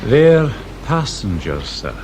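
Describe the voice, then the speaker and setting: An actor playing a mild, apologetic police sergeant in a radio drama, respectful and hesitant. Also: male voice, mid-range voice, very animated, takes it slowly, background noise. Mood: watchful. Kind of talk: British radio drama acting.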